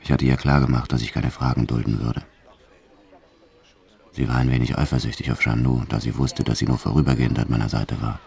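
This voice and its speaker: A man's voice: extremely low pitch, little pitch movement, fairly quick, gravelly, darkish tone. An actor playing a hardened, reckless French bank robber who tells his story in a cold, matter-of-fact, boastful way for a radio drama. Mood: matter-of-fact, hard. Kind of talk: first-person radio drama narration.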